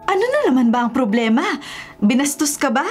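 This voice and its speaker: A woman's voice: high-pitched, very animated, brisk, darkish tone, faint music behind. A woman playing a concerned, puzzled middle-aged mother in a radio drama.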